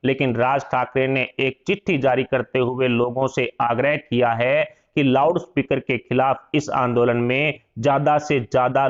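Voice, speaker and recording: A man delivers scripted news narration in a mid-pitched voice. The recording is dull and lo-fi, with little top end to the sound.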